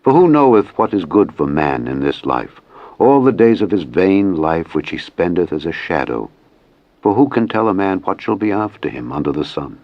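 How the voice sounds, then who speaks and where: A man narrating scripture in a solemn, measured and declamatory reading style. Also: deep voice, big pitch swings, dry recording, lo-fi sound.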